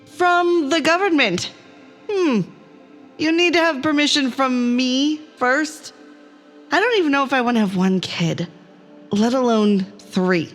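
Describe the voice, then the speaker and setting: An actress plays a headstrong, anxious female academic aboard a spaceship in an audio drama. Her voice sits fairly high and she takes her time over the words. She is emotional, sweary and quick to argue, with faint music underneath. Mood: playful, wry.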